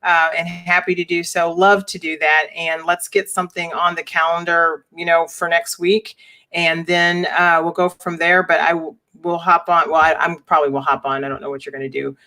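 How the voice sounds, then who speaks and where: A woman's voice: fairly low pitch, level tone, moderate pace, pushed and bright. A woman from the United States hosting a casual live-stream chat, encouraging and talkative, often repeating words.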